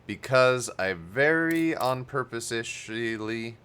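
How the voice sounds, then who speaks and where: Chatty man streaming a game, lively pitch. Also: slow. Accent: Canadian-sounding North American. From Texas. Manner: chatty, reads game text aloud, thinks out loud. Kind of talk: casual gameplay commentary. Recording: loud game music behind the voice.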